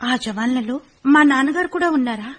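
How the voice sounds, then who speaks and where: A woman's voice: high-pitched, expressive, moderate pace, husky. An actress playing an anxious young daughter who urgently presses for news of her father. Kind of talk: radio drama acting.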